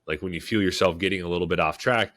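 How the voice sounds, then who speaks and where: A young man with a very low voice and a stuffy, congested nose from a cold. He co-hosts a casual podcast, talking loosely and filling his sentences with "like" and "right?". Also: fast talker. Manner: relaxed, repeats "like" and "right?" often. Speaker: podcast co-host.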